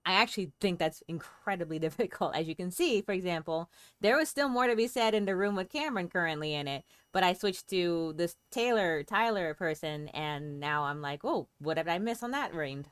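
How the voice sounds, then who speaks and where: A woman hosts a casual game stream in a smooth, lowish voice. She chats playfully and thinks aloud as she plays. The sound is close and dry. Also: lively intonation, brisk, no echo. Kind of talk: casual livestream game commentary.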